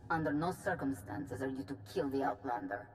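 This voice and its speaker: An actor voicing a cool, commanding envoy in a video game, formal, measured and authoritative. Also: mid-range voice, expressive, slight background noise, natural voice, rough recording. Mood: controlled, firm.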